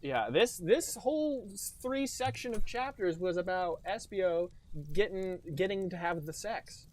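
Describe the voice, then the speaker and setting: A man's voice: high voice, animated, measured pace. A man co-hosting a comedy podcast, chatting casually and laughing often while quoting lines with mock drama. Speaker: podcast co-host.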